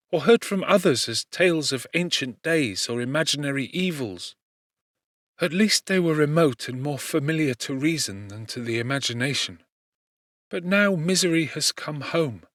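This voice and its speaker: A man's voice: fairly high pitch, no room sound, voice pushed up. Sorrowful tender young woman, read by a man, raised voice, dry close sound. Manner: pleading, earnest, softly lifted voice. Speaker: audiobook narrator. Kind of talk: scripted audiobook reading.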